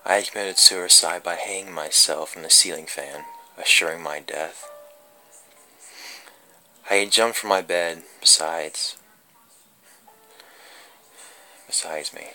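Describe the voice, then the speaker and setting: Guy doing a gloomy ghostly puppeteer, creepypasta style, really slow and theatrical, very sharp edgy voice. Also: deep voice, heavily acted. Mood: resigned, haunted. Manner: slow, brooding, theatrical delivery. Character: creepy undead puppeteer, melancholy tormented young man's ghost. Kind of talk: dramatic horror story reading. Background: music.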